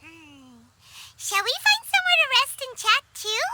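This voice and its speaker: A woman voicing a small, chirpy, curious fairy companion with a squeaky childlike voice in an anime game. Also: unhurried, exaggerated voice. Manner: excitable, speaks of self in third person. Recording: music behind the voice.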